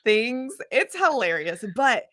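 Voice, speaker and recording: A woman's voice: a little high, big pitch swings, slow pace, a bit bright. A woman musician being interviewed, talking in a sunny, bubbly and informal way. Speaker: interview guest, singer-songwriter. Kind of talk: casual interview chat.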